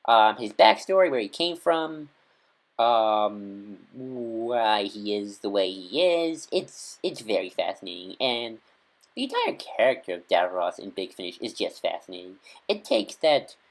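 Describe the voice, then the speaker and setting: A man chatting casually to camera as a devoted fan reviewer. He rambles with warm enthusiasm, stumbling over restarts and filling pauses with "um" and "uh". The delivery is loose and unscripted. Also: fairly low pitch, sing-song.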